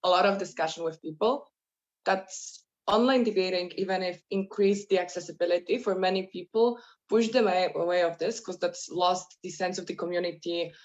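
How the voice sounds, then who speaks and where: A woman from Poland moderating an online panel, speaking haltingly and searching for words. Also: on the low side, steady tone, lo-fi sound.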